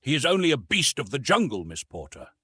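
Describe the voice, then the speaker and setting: A man voicing a formal, jealous gentleman in an audiobook, precise and insistent. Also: mid-range voice, wildly swooping pitch, a little fast, slightly rough, dry recording, studio quality, voice pushed up, full character voice. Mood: jealous, sneering. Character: chivalrous but jealous gentleman, formal and sneering.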